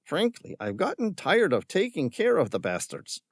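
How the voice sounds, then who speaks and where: A man reading an audiobook puts on a greedy villain with a gun, sarcastic and patronizing, with an affected Spanish-tinged lilt. The voice sits well above the reader's own and swoops lively as it mocks. It is recorded close and dry. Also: high voice, normal speed, dry recording, voice pushed up, heavily acted. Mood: sneering. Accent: affected Spanish-tinged accent. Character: sneering, greedy male villain caretaker with gun. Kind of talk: audiobook character voice.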